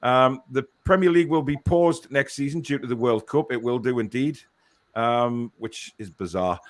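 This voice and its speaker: A man's voice: medium pitch, normal speed. A man hosting a live football fan show, chatting casually and reading out viewer comments.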